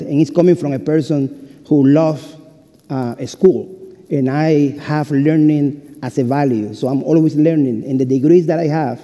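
Cuban-accented man preaching in a big hall. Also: fairly high pitch. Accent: Cuban Spanish. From Cuba. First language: Spanish. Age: 40s. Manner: humorous, warm storytelling with self-deprecating jokes. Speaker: pastor. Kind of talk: sermon. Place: university chapel. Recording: reverberant chapel hall.

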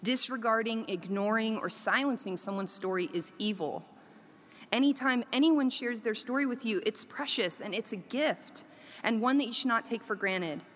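A woman professor giving a reflective, prepared talk, earnest and measured, with emphatic lists. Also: a little high, big-room sound. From Korea.

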